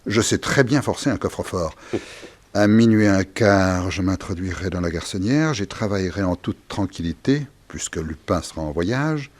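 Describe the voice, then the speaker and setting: A haughty English detective in a sixties French radio serial. His low, husky voice boasts with pompous certainty. He is touchy and theatrical, with very animated intonation. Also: normal speed. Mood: confident, conspiratorial. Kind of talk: 1960s French radio drama acting.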